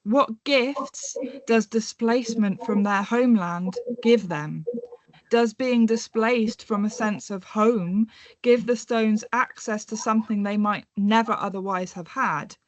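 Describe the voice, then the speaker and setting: A woman in her thirties giving an academic conference presentation in a measured, reflective way, slowing down to pose questions. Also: medium pitch, no room sound, muffled recording. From born in England, raised in North America and Europe. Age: 30s.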